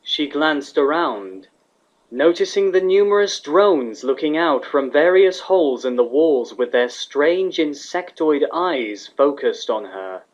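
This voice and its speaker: A man's voice: fairly high pitch, animated, takes it slowly. A man reading fan fiction aloud as a scripted story narrator. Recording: dull, lo-fi sound.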